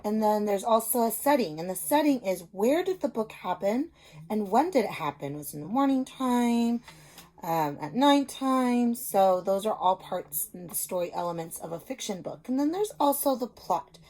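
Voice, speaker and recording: A woman's voice: mid-range voice, big pitch swings, moderate pace, mellow. A woman speaking as a reading teacher, explaining simply and reading a story aloud to children, stressing key words.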